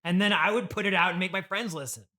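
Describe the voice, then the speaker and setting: A man's voice: high-pitched, quick pace, bright, forceful tone. A man playing a sullen, scornful fifteen-year-old boy in an improvised comedy interview, whiny and sarcastic. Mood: whiny, impatient. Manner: whiny, sarcastic, exasperated sighing.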